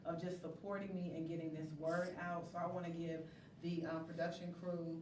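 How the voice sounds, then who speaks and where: Lower-voiced woman in a noisy, echoey room. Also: little pitch movement, brisk, a little mellow. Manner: informal, effusive. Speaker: interview guest, stage playwright. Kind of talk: announcements and thanks in live interview. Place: indoor venue before a studio audience. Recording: echoey room, distant mic, noisy background.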